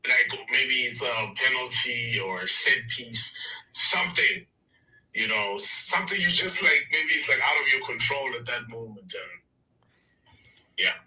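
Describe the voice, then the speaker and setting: A man's voice: medium pitch, measured pace, pushed and bright, recorded from a distance, poor audio. A man chatting casually as a football show host, using slang and repeating words for emphasis. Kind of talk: casual banter and match analysis.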